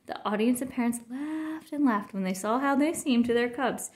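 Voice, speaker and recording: A woman reading a children's picture book aloud in an expressive narrating style. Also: a little high, normal speed. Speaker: storybook reader.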